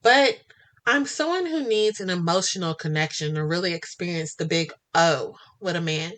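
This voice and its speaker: A woman narrating a first-person romance story in an expressive, flirty and sassy way. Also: fairly low pitch, moderate pace. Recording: music bed under the voice. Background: music.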